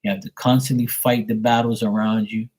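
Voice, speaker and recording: Husky-voiced man ranting bluntly. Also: lowish voice, level tone, fairly quick, a little mellow. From Bermuda. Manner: blunt, profane, rambling, acts out quoted voices. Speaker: live stream host. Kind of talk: casual rant on a live stream.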